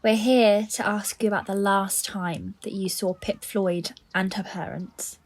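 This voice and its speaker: A woman playing a composed, dry-humoured police detective in a naturalistic audio drama, with a measured, professional manner. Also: medium pitch, fairly even intonation, natural voice. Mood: polite, businesslike. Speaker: actor in an audio play.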